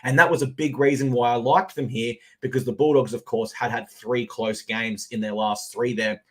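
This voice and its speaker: A man with an Australian accent hosting a live sports betting podcast, his voice a little husky, recapping games with energy. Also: medium pitch, fairly even intonation, normal speed. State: slightly husky voice. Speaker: podcast host.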